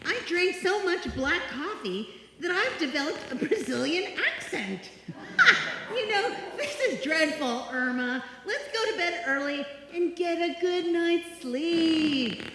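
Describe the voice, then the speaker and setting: A woman's voice: very high voice, animated, a little slow, exaggerated voice. An actress playing a sensible, take-charge young working woman with emphatic theatrical delivery in a live radio comedy. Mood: weary. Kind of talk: live old-time radio comedy acting. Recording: very echoey hall, noisy live stage recording.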